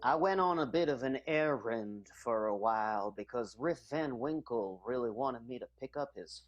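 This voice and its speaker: A man's voice: mid-range voice, highs cut off, close to an everyday voice. A man playing an earnest sixteen-year-old vaudeville strongman in an improvised radio soap, rambling along. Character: circus strongman just turned sixteen.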